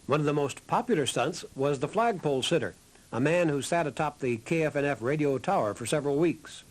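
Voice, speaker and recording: A man's voice: fairly high pitch, expressive, fairly quick. A man reading a scripted historical documentary narration. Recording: narration over background music. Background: music.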